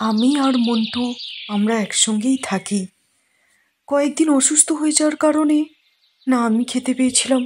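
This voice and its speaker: A woman playing a kind, grateful, generous village man in a cartoon, speaking politely and gently. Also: fairly high pitch, lightly acted. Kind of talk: cartoon moral-story dialogue. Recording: faint noise with birdsong.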